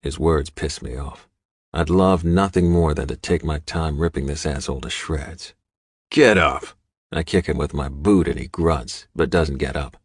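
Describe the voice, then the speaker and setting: A man's voice: very deep voice, dry recording, clean studio sound. A man reading a scripted first-person audiobook novel as its narrator.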